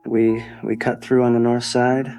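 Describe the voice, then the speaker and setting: A man playing a panicked young man phoning for emergency help in an audio drama, halting and repeating himself. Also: medium pitch, level tone, dark tone, slight background noise, close to an everyday voice, low-quality recording. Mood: anxious, rattled.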